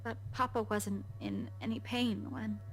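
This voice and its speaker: An actress playing a vulnerable, grieving young woman who speaks haltingly in a visual novel. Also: medium pitch, very animated. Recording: music behind the voice.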